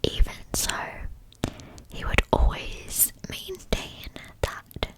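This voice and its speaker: A woman narrating a scripted story as ASMR in a breathy, unvoiced whisper, close to the microphone, in short deliberate phrases. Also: rough recording. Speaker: ASMR true crime storyteller.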